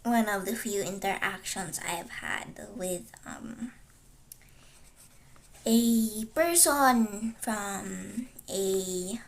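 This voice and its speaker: A woman's voice: mid-range voice, extremely slow. A teenage girl whose first language is Chinese, chatting casually on a livestream while she studies, rambling and hesitant, with many fillers and self-corrections. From Philippines. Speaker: vtuber streamer.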